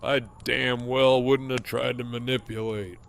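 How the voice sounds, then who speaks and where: An actor playing a mocking, foul-mouthed middle-aged antagonist with a sardonic, needling drawl in an audio drama. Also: male voice, medium pitch, little pitch movement, a little slow, gravelly, slight background noise. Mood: taunting, amused.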